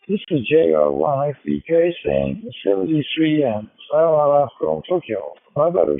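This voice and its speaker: Man, Japanese accent, courteous radio operator, phone-like radio audio, higher pitch. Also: big pitch swings, unhurried, no echo. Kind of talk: ham radio contact, formal courteous exchange. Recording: tinny narrowband digital radio transmission.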